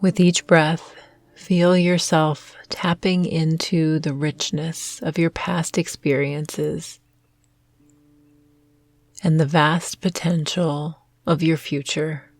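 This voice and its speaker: A woman guiding a meditation in a gentle, soothing, measured way with long pauses. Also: sits low, little pitch movement, no echo. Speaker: meditation guide. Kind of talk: guided meditation narration. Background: soft meditation music.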